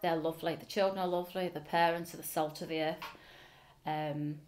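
A woman's voice: low-pitched, steady tone, fairly quick, darkish tone, slight background noise. A middle-aged woman with a Liverpool accent, speaking earnestly in an interview in a school office, with frequent ums. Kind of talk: oral history interview. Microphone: seated facing camera.

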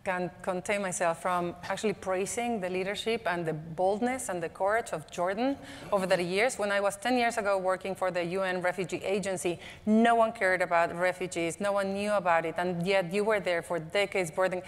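A woman's voice: lowish voice, fairly even intonation, a little fast, few pauses. A young woman speaking fluently and earnestly as a conference panelist. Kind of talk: panel discussion. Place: large conference hall, on stage. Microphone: lapel mic. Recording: long hall reverb.

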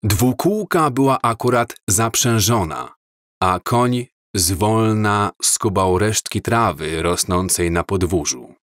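Male audiobook narrator, unhurried and measured, lowish voice, dry close recording. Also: no room sound. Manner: slow, measured, calm reading. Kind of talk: scripted storybook narration.

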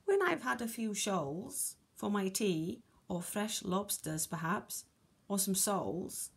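A woman's voice: medium pitch, expressive, takes it slowly, creaky, breathy edge, full character voice. A woman voicing a big, mean, greedy shark from a children's story, with a sly, sneering manner.

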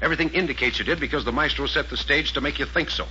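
An actor playing a tough, skeptical radio-drama adventurer, brusque and sardonic. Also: male voice, a little high, rapid-fire, sharp tone. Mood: firm. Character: tough, skeptical, level-headed adventurer hero. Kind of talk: 1940s radio drama acting. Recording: muffled, noisy old-time radio recording with hum.

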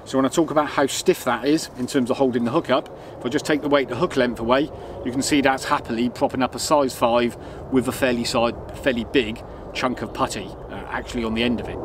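A man in his thirties outdoors, explaining a fishing rig in a relaxed, casual way with frequent ums. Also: medium pitch, fast, noisy background. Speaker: angler demonstrating fishing rigs. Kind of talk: casual product demonstration. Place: outdoors on a woodland path.

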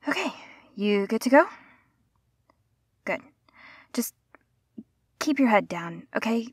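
A woman's voice: fairly high pitch, animated, takes it slowly, husky, dry recording, studio quality, full character voice. A woman playing a caring, flustered young rescuer in an intimate audio roleplay, speaking in a hesitant, stammering, soothing way. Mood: gentle, practical.

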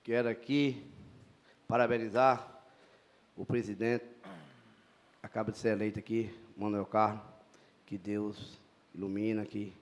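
A middle-aged man with a Bahian Portuguese accent, giving a formal, emphatic inauguration speech as a city councilman in a council chamber, speaking into a handheld mic at a lectern. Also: lowish voice. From Brazil. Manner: formal, emphatic, repetitive phrasing.

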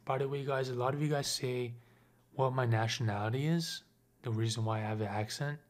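A man's voice: medium pitch, fairly even intonation, brisk. A young man of Lebanese Armenian background giving casual gameplay commentary at home, chatting to viewers and asking for comments. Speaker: gaming YouTuber.

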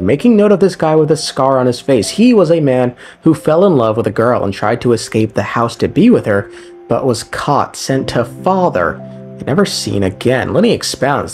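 Young man, animated intonation, casual story recap, irreverent slangy commentary, soft guitar music behind. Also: mid-range voice. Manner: wry, irreverent, slangy asides. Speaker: game lore video narrator. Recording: music under the voice.

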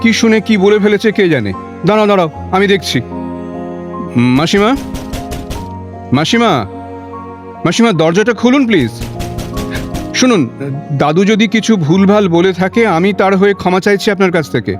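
A young suitor in an audio drama, chatty and animated. His voice sits high and swings freely, with music playing behind him. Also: moderate pace, long pauses. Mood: worried, pleading. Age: young adult. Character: young man in love, chatty, joking, polite to elders.

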